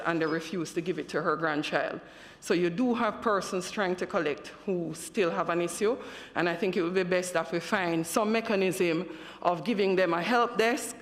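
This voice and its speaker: Jamaican woman politician in an echoey room. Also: on the low side. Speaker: committee member, politician. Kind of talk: speaking at a committee hearing.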